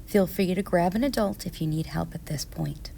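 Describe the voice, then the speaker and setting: Female craft narrator, unhurried and measured, with vocal fry and faint noise behind her, explaining steps to children. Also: fairly low pitch, mellow. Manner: measured, step-by-step. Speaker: craft instructor. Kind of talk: scripted instructional narration for children.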